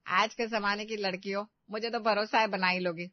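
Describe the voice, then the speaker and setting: A woman's voice: mid-range voice, steady tone, normal speed, no echo, muffled recording, lightly acted. A woman voicing a proud older mother-in-law in a family story, chatty and fond of giving instructions. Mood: bossy.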